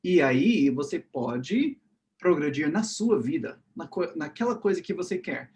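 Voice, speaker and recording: Animated man, teacher. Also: a little high, unhurried, a little hiss or hum. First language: English. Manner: animated, repeats "né?" and "tá?" often. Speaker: English teacher and podcast host. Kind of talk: casual podcast chat.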